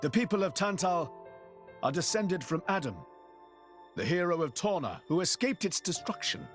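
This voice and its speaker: A man's voice: high voice, expressive, normal speed, faint music behind. An actor playing a cocky, breezy young swordsman prince in a video game, talking boastfully and colloquially. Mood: explaining. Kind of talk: video game cutscene acting.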